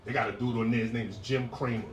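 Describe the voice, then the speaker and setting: A man in his forties doing stand-up on a club stage, relaxed and conversational as he tells his stories. He picks up energy and shouts when he acts out characters. The sound is roomy and picked up from some distance away. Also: mid-range voice, fast talker, a little hiss or hum. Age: 40s. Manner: laid-back storytelling, bursts into loud shouted impressions. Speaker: stand-up comedian. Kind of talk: stand-up set.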